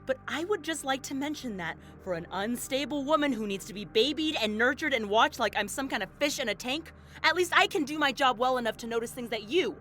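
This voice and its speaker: A woman plays an indignant police investigator in an audio drama. Her voice is high and sharp, emotional and confrontational, with a guarded, wounded pride. Light music runs behind her. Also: expressive, brisk. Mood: hurt, defiant.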